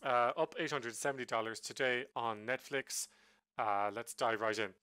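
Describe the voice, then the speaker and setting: A man's voice: medium pitch, moderate pace, bright, forceful tone, smooth, dry recording. A man casually walking through his day's trades on a chart, rambling with many ums and self-corrections while he is sick with a flu. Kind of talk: casual screen-share trade recap.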